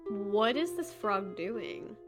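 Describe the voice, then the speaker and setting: A woman chatting casually and playfully as a player in a tabletop game, with an animated manner. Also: mid-range voice, slow, music in the background.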